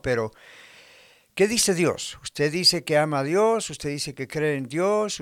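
A man's voice: a little high, clean tone, dry recording, clean studio sound. A man from Argentina preaching a sermon in a conversational way, full of rhetorical questions and imagined dialogue. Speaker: pastor.

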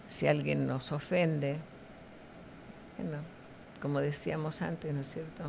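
A woman's voice: sits low, creaky, a little mellow, slight background noise, rough recording. A middle-aged woman giving devotional teaching in conversation, didactic and often checking for agreement with short tag questions.

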